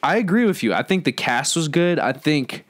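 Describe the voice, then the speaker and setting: Slightly higher-voiced man hosting casual chat. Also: big pitch swings, moderate pace. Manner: slangy, often addresses others as bro. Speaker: podcast host steering the discussion.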